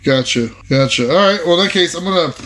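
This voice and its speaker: A man's voice: a little high, lively intonation, quick pace, a bit bright, a little hiss or hum. A man playing a tabletop game, chatting casually as he decides what his character does.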